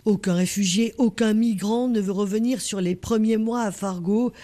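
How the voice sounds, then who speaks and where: A reporter delivers scripted narration for a news story. The voice sits at a middle pitch and follows the written structure of a broadcast report. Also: fairly even intonation, moderate pace, dark tone.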